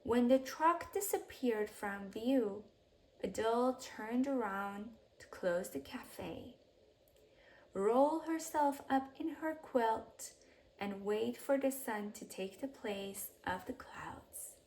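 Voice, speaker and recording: Woman, high-pitched voice, very slow pace, expressive children's read-aloud, measured phrasing, soft sentence endings. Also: a little hiss or hum, low-quality recording. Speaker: children's storybook reader. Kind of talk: expressive read-aloud bedtime story narration.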